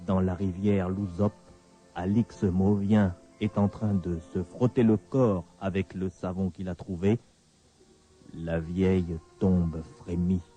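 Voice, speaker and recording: A man narrating a scripted radio horror story in a deliberate, ominous way. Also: low-pitched, steady tone, dark tone, lo-fi sound. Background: faint music.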